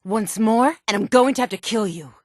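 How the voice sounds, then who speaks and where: An actress voicing a fierce, menacing woman fighter in a fantasy video game, with forceful, threatening, dramatic delivery and a sultry tone. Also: a little high, moderate pace, dry recording, clean studio sound, close to an everyday voice. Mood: threatening, angry. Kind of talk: scripted video game combat barks.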